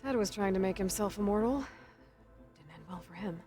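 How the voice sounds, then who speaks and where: A woman's voice: mid-range voice, a little fast, mellow, natural voice. An actress voicing a determined young woman hunter who questions an AI in a thoughtful, dry tone over game music. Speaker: game protagonist.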